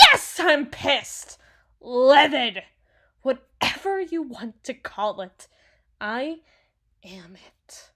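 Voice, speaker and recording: A woman playing a brash, sarcastic, protective villain's henchwoman and field medic in an intimate, dramatic audio roleplay. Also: mid-range voice. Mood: irritated, livid. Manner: sardonic, dramatic, swings from hushed muttering to outbursts.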